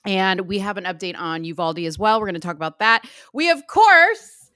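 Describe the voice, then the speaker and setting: A woman's voice: medium pitch, animated, sharp tone, clear, resonant tone, dry recording, studio quality. Playful woman co-hosting a livestream chat. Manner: playful, laughing, quick asides. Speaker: podcast co-host.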